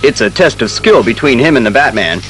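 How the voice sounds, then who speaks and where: A man playing an authoritative, easily exasperated police commissioner, brisk and official, in a superhero audio drama. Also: mid-range voice, animated, a bit bright, faint music behind, lightly acted. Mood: thoughtful. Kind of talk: superhero radio drama acting.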